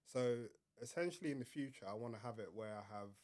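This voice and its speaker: A man, his voice a little croaky from illness, at a middle pitch. He talks through his business at an even pace, leaning on fillers like "kind of" and "you know.". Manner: measured explanations with fillers. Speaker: podcast guest, entrepreneur. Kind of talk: casual podcast interview.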